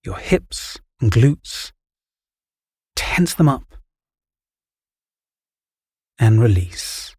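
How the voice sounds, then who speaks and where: A man guiding a relaxation meditation, giving calm, soothing, measured instructions. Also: mid-range voice, breathy edge, dry recording, clean studio sound. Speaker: meditation guide. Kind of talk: guided relaxation meditation, scripted narration.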